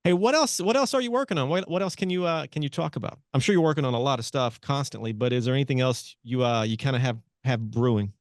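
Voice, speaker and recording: A man hosting a radio interview, chatty and animated as he asks his guest questions. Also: medium pitch, quick pace, hardly any pauses, no room sound, clean studio sound. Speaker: radio host. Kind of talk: casual interview chat. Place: radio studio.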